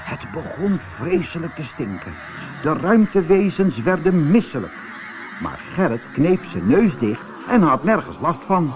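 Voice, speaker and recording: A man narrating a children's audiobook in a theatrical, expressive storytelling manner. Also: a little high, unhurried, slight background noise.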